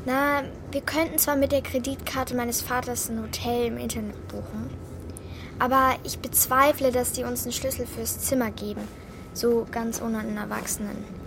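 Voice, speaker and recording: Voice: mid-range voice, level tone, moderate pace, creaky, noisy background, natural voice. A performer playing a bold, resourceful young girl in a children's radio play, blunt and self-assured. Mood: confident, bossy.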